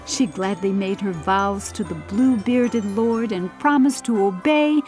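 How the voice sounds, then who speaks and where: Slow-paced woman narrating a storybook. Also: mid-range voice, darkish tone. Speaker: audiobook narrator. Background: music.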